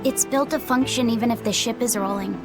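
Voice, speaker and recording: Woman as a meek, high-voiced little boy. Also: a little fast, lightly acted. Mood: timid, polite. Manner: meek, hesitant. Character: meek, obedient little boy, soft and hesitant. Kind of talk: video game voice acting. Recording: music behind the voice.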